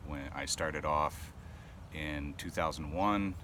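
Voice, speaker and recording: A man's voice: extremely low pitch, slow pace, pushed and bright. A man in his thirties from Rhode Island with a neutral voice, chatting casually to camera and rambling with lots of ums and uhs. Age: 30s. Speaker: pipe-smoking vlogger. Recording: clear traffic noise outdoors.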